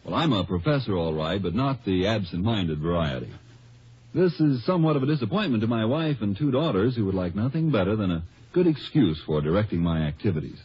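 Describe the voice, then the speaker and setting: Friendly wry professor doing old radio ad, really husky, animated, dull sound. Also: male voice, on the low side, a little fast, exaggerated voice. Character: genial, wry male psychology professor giving a testimonial. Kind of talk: 1950s radio ad narration. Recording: dull vintage radio recording.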